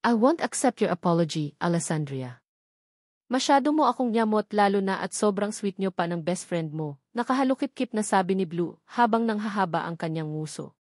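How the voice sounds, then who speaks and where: A woman's voice: mid-range voice, darkish tone, no echo, studio quality. A woman reading a scripted romance novel aloud in the first person. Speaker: audiobook narrator.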